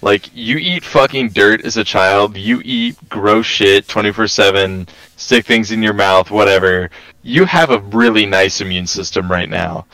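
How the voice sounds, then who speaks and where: A man's voice: fairly low pitch, unhurried, pushed and bright, low-quality recording. A man co-hosting a casual podcast, talking bluntly and swearing freely. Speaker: podcast co-host.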